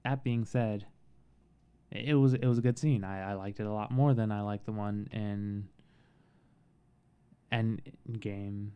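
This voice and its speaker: A man giving a casual, halting film review in a soothing voice, with frequent pauses and restarts. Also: fairly low pitch, steady tone, clear, resonant tone, lo-fi sound. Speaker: movie reviewer.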